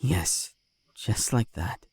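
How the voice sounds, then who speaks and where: Man acting a solemn, slow-spoken devoted husband. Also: fairly low pitch, a little mellow, breathy edge, full character voice. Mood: fond. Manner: solemn, measured, tender, formal. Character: devoted, wise, consoling long-lived husband. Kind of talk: dramatic voice acting in a fan comic dub. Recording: music bed under the voice.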